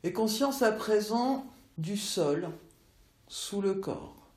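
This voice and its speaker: A woman teaching yoga nidra, guiding relaxation in measured instructional phrases with long pauses. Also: mid-range voice, lively intonation, darkish tone. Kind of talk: slow guided relaxation meditation. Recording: roomy, echoey voice recording.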